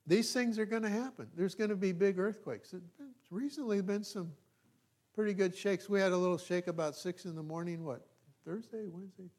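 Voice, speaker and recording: Higher-voiced Californian man preaching informally. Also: a little mellow. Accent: Californian American. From California, United States. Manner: folksy, self-deprecating, halting with repeated checks to listeners. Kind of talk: informal, conversational sermon.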